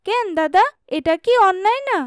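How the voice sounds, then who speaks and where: A woman's voice: very high voice, expressive, normal speed, dry recording. A woman voicing an outspoken, cheeky young village girl, a little sister in a cartoon. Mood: indignant. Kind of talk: cartoon dubbing.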